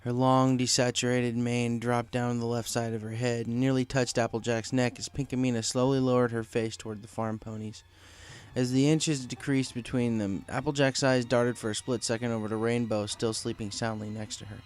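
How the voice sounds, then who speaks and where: A male narrator with a medium-pitched voice. He reads scripted fan fiction aloud, telling the story in prose between the characters' lines. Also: level tone, normal speed, clear, resonant tone.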